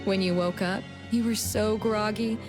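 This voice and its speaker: An actress playing an enigmatic shadow of an older sister in a video game, measured, knowing and gently condescending. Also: female voice, mid-range voice, steady tone, over music, natural voice. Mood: coolly recounting.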